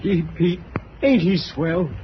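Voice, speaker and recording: An actor playing a friendly small-town family doctor in an old-time radio drama, with a folksy, halting, chummy delivery. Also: male voice, sits high, animated. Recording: dull, lightly noisy old radio recording.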